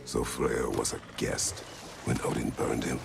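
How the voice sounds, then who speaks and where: Stern old war god in a game, insanely deep, super gravelly, few words, loud water noise. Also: male voice, level tone, measured pace, over loud music, full character voice. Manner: terse, grave, commanding. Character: huge, stern, battle-weary warrior god of few words. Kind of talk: dramatic video game acting.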